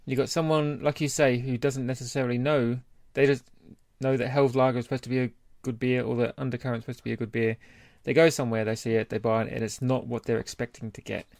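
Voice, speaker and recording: A man's voice: mid-range voice, little pitch movement, fast talker, creaky, hardly any pauses, darkish tone, no echo. A man co-hosting a podcast in casual, conversational chat. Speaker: podcast co-host.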